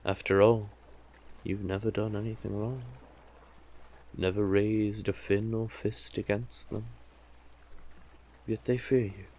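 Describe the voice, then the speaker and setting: Man playing a slow, deep-voiced, coaxing sea witch. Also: darkish tone, a little hiss or hum. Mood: soothing, grave. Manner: slow, teasing, condescending endearments, deliberate pauses. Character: cunning, coaxing male sea witch in a coastal cave. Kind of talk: scripted roleplay audio drama, addressing the listener. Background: ambient sound effects.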